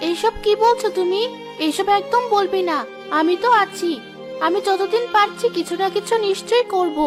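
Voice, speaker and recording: A woman's voice: extremely high pitch, moderate pace, over music. A woman voicing a poor, devoted, worried young village mother in a cartoon, with a dramatic, exclaiming delivery. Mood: reassuring. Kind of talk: Bengali cartoon voice acting.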